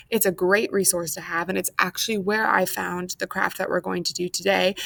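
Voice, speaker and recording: A woman's voice: mid-range voice, creaky, fairly bright tone. An American woman speaking as a children's librarian at storytime, encouraging and addressing young listeners as friends.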